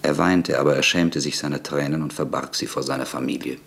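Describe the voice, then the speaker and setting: Very deep-voiced male narrator. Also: fairly even intonation, fairly quick, gravelly, over loud music. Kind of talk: scripted literary narration in a radio drama.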